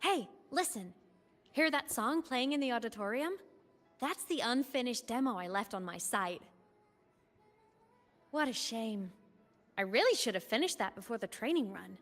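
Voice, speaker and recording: A woman's voice: on the high side, lively intonation, normal speed, pauses often. A woman playing an earnest, wistful young academy student and musician in a video game, speaking in a heartfelt way. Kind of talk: emotive video game story acting. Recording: long hall reverb, music behind.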